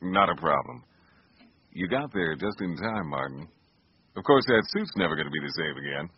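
Radio drama doctor: low, rough-edged, wry, comforting, lively intonation, lo-fi sound. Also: male voice, normal speed, dry recording, full character voice. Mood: reassuring. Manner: reassuring, folksy. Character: Jim, reassuring, wry small-town doctor. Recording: dull lo-fi radio audio.

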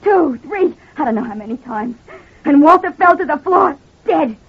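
An actress playing a distraught, halting wife in an old radio crime drama. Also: female voice, sits high, lively intonation. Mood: overwrought. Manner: hesitant, emotional, halting. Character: distraught wife claiming she shot her abusive husband. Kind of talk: 1940s-50s radio crime drama acting. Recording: muffled, thin old-time radio recording.

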